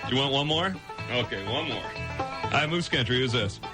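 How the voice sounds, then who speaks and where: Male radio host, lively fairly high voice, teasing and opinionated banter, music underneath. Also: normal speed. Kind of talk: casual morning radio banter with callers. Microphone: radio studio microphone. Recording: music behind the voice.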